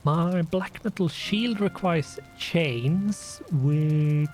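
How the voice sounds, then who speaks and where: A man with a Scandinavian accent, sniffly from a runny nose, talking slowly through a game he plays. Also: a little high, a little mellow, soft background music. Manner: slow, deliberate, with restarts and fillers. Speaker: gaming channel host. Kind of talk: casual let's play commentary.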